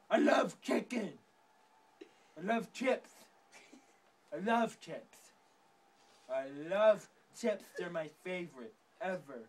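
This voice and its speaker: A man voicing a whiny teenage girl stuck in a wheelchair in an improvised comic skit, talking in a slow, halting, plaintive way. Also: mid-range voice, natural voice. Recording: distant mic with faint background noise.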